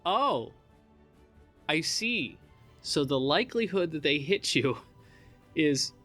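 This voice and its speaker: A man's voice: on the high side, big pitch swings, slow pace, fairly bright tone, faint music behind. A man in casual chat, reacting dryly with mock disbelief as a co-host. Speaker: co-host reacting and asking questions.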